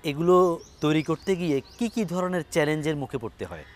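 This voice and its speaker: A man's voice: on the high side, animated, fairly quick, soft background music. A man working as an interviewer, putting questions to his guests.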